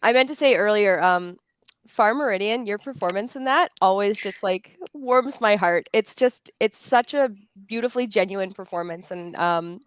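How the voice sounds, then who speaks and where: A woman's voice: medium pitch, normal speed, clean tone, no echo. A woman moderating a panel discussion in a conversational, affirming and encouraging way.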